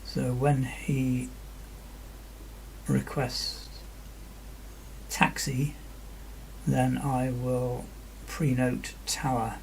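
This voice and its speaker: A man narrates an air traffic control session with a methodical manner. His voice is very gravelly and his delivery is slow. There is faint noise behind him. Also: medium pitch, level tone, a little mellow, poor audio. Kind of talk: radio phraseology and explanatory screen-recording commentary.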